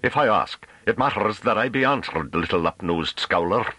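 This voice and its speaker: A man's voice: lowish voice, expressive, moderate pace, sharp tone, slightly rough, no room sound, voice pushed up, heavily acted. A man voicing a big, mocking, belligerent farmer in a thick rustic dialect with rolled Rs and archaic phrasing, for an audiobook. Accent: thick rustic invented dialect with rolled Rs.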